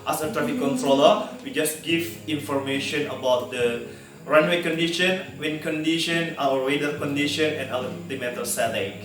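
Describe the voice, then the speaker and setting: Indonesian man, very echoey, halting English. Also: a little high, steady tone. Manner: halting non-native English, explains step by step. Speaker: air traffic controller answering test questions. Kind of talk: spoken English test practice answer. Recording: very echoey room, distant mic, music behind.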